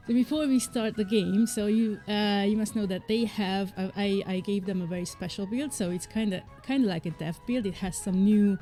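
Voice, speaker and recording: A woman's voice: mid-range voice, normal speed, mellow, faint music behind. A woman from Hungary, an indie game developer, chatting casually on a livestream with frequent fillers and light laughs. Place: home, living in the UK.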